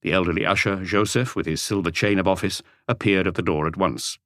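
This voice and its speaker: A man's voice: sits low, steady tone, brisk, a bit bright, dry recording, studio quality. A man reading a mystery novel as a scripted audiobook narration.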